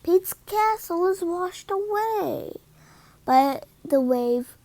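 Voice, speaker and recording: A woman reading a children's picture book aloud in an expressive, deliberate manner. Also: very high voice, dry recording. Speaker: read-aloud storyteller.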